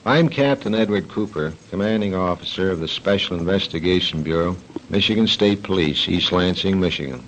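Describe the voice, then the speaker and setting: A man with an American accent, a police commander, reading an official statement in a formal, measured way. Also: sits low, slight background noise. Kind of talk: read official statement on radio. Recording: dull 1940s radio recording.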